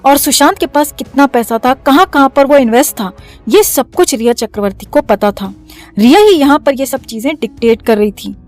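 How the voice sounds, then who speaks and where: Woman doing news commentary voiceover, high voice, bit of music behind her. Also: animated, moderate pace, a little mellow. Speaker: news narrator. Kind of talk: scripted news commentary voice-over. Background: background music.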